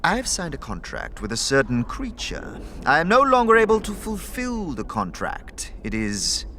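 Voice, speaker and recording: A man's voice: a little high, wildly swooping pitch, moderate pace, sharp tone, exaggerated voice. A man playing a nervous, courteous self-taught mage for hire in an audio drama, hesitant and effusive. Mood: anxious, pleading. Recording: faint background noise.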